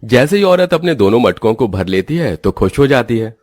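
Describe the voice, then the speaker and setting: A man's voice: mid-range voice, very animated, moderate pace, a little mellow, dry recording, soft background music. A man giving scripted narration as the storyteller of a Hindi cartoon tale.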